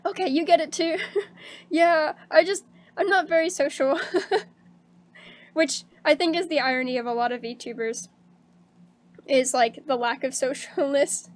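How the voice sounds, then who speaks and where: A woman streaming casually, chatting with viewers and laughing often, with a slightly hoarse voice. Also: high-pitched, fairly even intonation, a little slow, pauses often. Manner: bubbly and chatty, laughs often, many fillers like "um" and "like". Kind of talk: casual stream chat reading out viewer messages.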